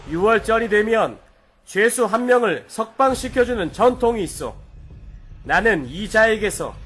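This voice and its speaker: A man's voice: sits high, fairly bright tone. A man playing a stern, troubled, weary Roman governor in a children's Bible story drama, speaking in formal, declamatory, old-fashioned phrases. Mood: appealing.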